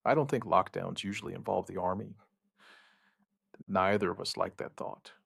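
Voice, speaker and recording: A man acting as a steady, protective survivor looks back on the first days of an outbreak. His voice is low and gravelly, recorded close and dry. He narrates in measured, plain sentences with quiet understatement, in the manner of an apocalyptic audio drama. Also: no room sound, full character voice. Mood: firm, reassuring. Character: male outbreak survivor recounting events, steady and protective partner.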